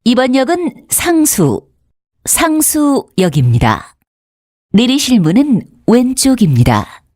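A woman's voice: fairly high pitch, sing-song, slow, darkish tone, no echo, clean studio sound. A woman reading scripted station announcements as a subway announcer.

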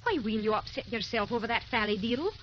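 Brisk fond female confidante, dismissive, swooping pitch, old radio drama, dull hissy recording. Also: expressive, a bit bright. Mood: brisk, scolding. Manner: scolding yet affectionate, dismissive. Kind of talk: 1930s-40s radio drama acting.

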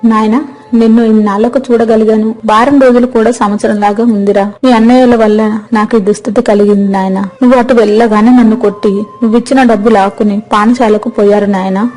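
Woman as a plaintive, weary village mother, mellow tone. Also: on the high side, fairly even intonation, brisk, faint music behind, close to an everyday voice, low-quality recording. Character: weary, hungry, long-suffering mother of grown sons. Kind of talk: acted moral story dialogue.

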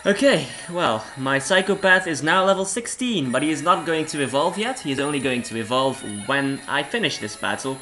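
Hoarse young man with a cold. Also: a little high, animated, moderate pace, few pauses, pushed and bright, soft background music, rough recording. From Belgium. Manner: rambling, self-deprecating, laughs often. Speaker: let's play host. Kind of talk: casual game commentary.

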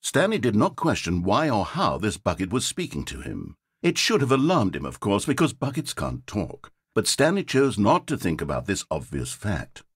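A man's voice: fairly low pitch, huge pitch swings, normal speed, few pauses, husky, dry recording, studio quality, heavily acted. An actor playing a smug, mock-polite male narrator of a video game, speaking with sardonic theatrical wit. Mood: wry.

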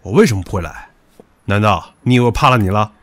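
A man's voice: fairly low pitch, expressive, fast talker, a bit bright, a little hiss or hum. A man acting in an audio drama as a blunt, hot-headed and possessive young rich man with a demanding manner. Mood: confrontational.

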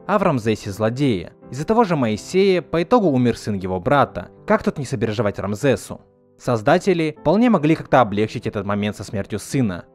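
Male reviewer, mid-pitched and very expressive, earnest scripted commentary, light music bed. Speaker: video essayist reviewing an animated film. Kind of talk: scripted video essay narration. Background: background music.

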